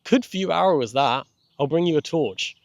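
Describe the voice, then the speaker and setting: A man's voice: on the high side, lively intonation, a little slow, vocal fry, no echo, pitched above the performer's own voice. Groundskeeper, middle-aged male man acting: cheery, colloquial, kindly, unfussy, for a children's audiobook. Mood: cheery, reassuring. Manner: colloquial, chirpy, dropped grammar. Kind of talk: children's audiobook character dialogue.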